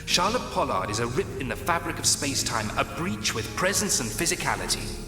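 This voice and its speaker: A man's voice: mid-range voice, big pitch swings, fairly quick, lightly acted. Guy acting cold formal time lord for an audio play, very echoey, music behind him. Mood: grave, disdainful. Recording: echoey hall reverb, loud music. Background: dramatic music.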